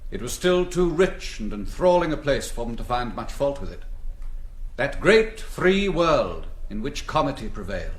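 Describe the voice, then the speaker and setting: A man playing a refined, elegiac chronicler of gilded young men, in formal, measured stage narration. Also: medium pitch, sing-song, close to an everyday voice. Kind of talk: rhetorical stage-play narration. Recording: roomy, echoing drama recording.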